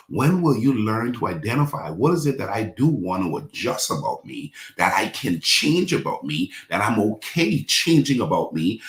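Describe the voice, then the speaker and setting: A man's voice: medium pitch, roomy sound. A man in his forties preaching a sermon, repeating his points and leading the congregation in affirmations. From Bahamas. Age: 40s. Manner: repetitive call-and-response preaching, leads congregation in affirmations. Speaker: pastor.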